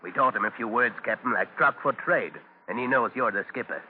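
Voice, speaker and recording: An actor playing an obedient, falsely friendly English sailor, in 1940s radio style. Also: male voice, mid-range voice, fairly quick, natural voice. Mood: dutiful. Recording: tinny, hissy 1940s radio recording.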